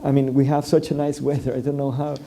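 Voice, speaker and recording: Hesitant Venezuelan man with a dark tone. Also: medium pitch, flat delivery, fast talker. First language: Spanish. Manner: hesitant, many fillers and restarts. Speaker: panelist. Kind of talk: public forum discussion.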